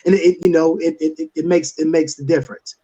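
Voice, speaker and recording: Very high-voiced man, dull audio. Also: steady tone, quick pace, warm tone, breathy edge. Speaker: stage play writer-director, panel guest. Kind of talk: casual panel chat.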